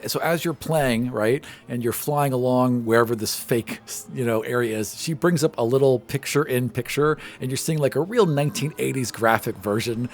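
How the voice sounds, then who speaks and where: A man's voice: mid-range voice, normal speed, hardly any pauses, faint music behind. A man narrating a tabletop game as game master, setting scenes dramatically in the present tense. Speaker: game master, podcast host.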